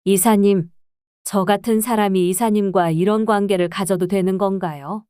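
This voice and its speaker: A woman voicing a timid, deferential housekeeper in her sixties, speaking softly and hesitantly. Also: medium pitch, fairly even intonation, moderate pace, clear, resonant tone, no echo, studio quality, close to an everyday voice.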